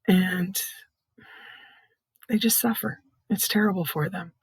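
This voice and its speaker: Soft, hoarse older woman. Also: mid-range voice, takes it slowly, no room sound. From Iowa, United States. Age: middle-aged or older. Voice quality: soft, hoarse, breathy voice from vocal cord growths. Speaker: patient advocate, child care nurse consultant. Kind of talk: personal testimony, explanatory talk.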